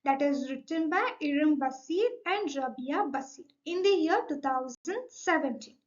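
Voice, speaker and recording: High-pitched young woman presenting, muffled audio. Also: expressive, moderate pace. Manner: formal, explanatory, starts sentences with "so". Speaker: student presenter. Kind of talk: scripted academic slide presentation.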